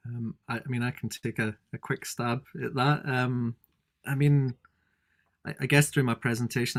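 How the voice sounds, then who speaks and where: Low, even-voiced man answering thoughtfully. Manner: thoughtful, halting, with frequent restarts. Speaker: design researcher, panel guest. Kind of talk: unscripted panel answer.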